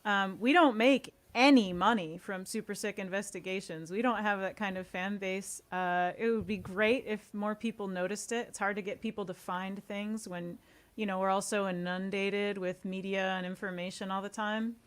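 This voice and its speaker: Earnest woman chatting casually, mid-pitched. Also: steady tone, moderate pace. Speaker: guest, audio series co-creator. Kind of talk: casual interview chat.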